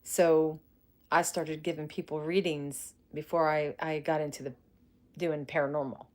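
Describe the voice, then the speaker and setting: A woman's voice: low voice, flat delivery, unhurried. Chatty woman in her forties livestreaming. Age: 40s. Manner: chatty and warm, laughs often, greets viewers by name; colloquial grammar. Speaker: psychic medium and paranormal live streamer. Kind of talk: casual livestream chat answering viewer comments.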